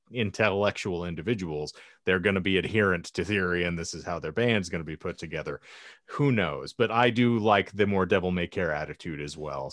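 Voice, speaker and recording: A man's voice: low-pitched, no room sound, studio quality. A man hosting a panel podcast, chatting and telling anecdotes in wordy, ornate phrasing. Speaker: podcast host.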